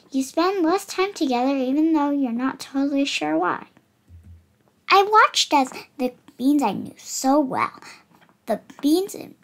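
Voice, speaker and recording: An eight-year-old child reading a picture book aloud expressively, slightly halting with dramatic emphasis on words. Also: high voice. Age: child, 8 years old. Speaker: young book reader.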